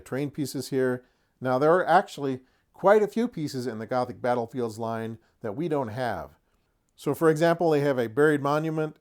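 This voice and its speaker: A middle-aged man with a medium-pitched voice presents as a channel host. His delivery is casual and folksy, like someone showing off a hobby collection. He slips in dry, joking asides. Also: a little mellow. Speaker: host of a tabletop wargaming channel. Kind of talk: casual product showcase to camera.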